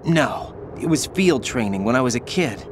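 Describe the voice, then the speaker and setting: A man's voice: mid-range voice, expressive, normal speed, noisy background, close to an everyday voice. An actor in a video game cutscene playing a troubled young male soldier, intense and questioning. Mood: troubled, brooding.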